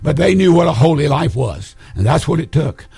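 A hoarse man preaching with a rough, gravelly rasp and a slightly raised pitch. He presses his points hard and repeats key phrases. The sound is close and dry, with almost no room around it. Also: very animated, a little fast, darkish tone, no echo. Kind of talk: Pentecostal sermon teaching.

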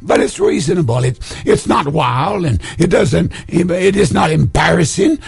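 A hoarse man preaching an emphatic Pentecostal sermon, repeating key phrases for stress. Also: on the high side, expressive, unhurried, no room sound.

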